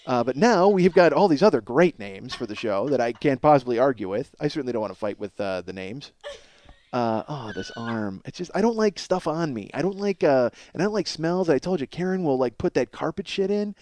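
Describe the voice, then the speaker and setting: A man's voice: fairly high pitch, animated, a little fast, a little mellow. A man hosting a comedy podcast in a rambling, self-mocking monologue, ranting with profane exasperation.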